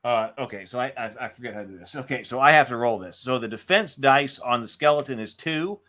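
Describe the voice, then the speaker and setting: A man's voice: medium pitch, fast, fairly bright tone. A man hosting a solo board game session, talking casually through his moves and dice counts as he thinks aloud. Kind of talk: casual live play-by-play with narrated moves.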